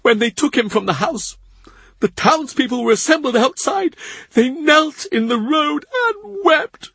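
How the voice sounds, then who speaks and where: A man's voice: very high voice, animated, moderate pace, vocal fry, a bit bright, slightly rough, no room sound, voice pushed up, full character voice. A man voicing a grieving male servant who cries as he tells his story, in an audiobook. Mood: tearful. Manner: tearful, breathless storytelling. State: crying. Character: grieving male servant, weeping, tearful gentleman. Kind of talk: audiobook character reading.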